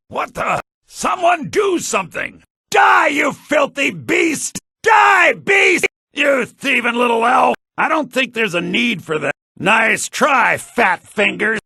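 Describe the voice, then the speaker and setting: A sly, grasping merchant from a fantasy game, with a gravelly, husky male voice pitched high. His delivery is theatrical and swings widely in pitch. The audio is a compressed, low-bitrate game rip. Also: bright, forceful tone, no room sound, clean studio sound, heavily acted. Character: sly fantasy male shopkeeper, grasping, quick to yell at thieves. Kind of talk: video game character barks.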